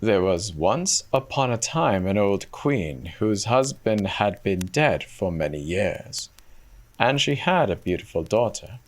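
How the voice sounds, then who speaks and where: A man telling a fairy tale as a dramatic scripted narration, measured and formal. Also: on the low side, very animated. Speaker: storyteller. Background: soft music.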